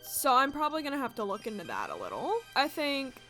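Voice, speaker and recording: A young woman with a congested voice, chatting casually and excitedly as she builds in a video game. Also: high voice, quick pace. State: congested from allergies. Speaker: gaming video creator. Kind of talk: casual gameplay commentary. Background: soft game music.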